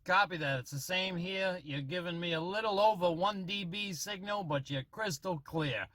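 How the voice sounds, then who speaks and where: A man's voice: high-pitched, normal speed, pushed and bright, dry recording. A man giving a casual radio-testing demonstration as a hobbyist, talking in check-ins. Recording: noisy CB radio transmission at times.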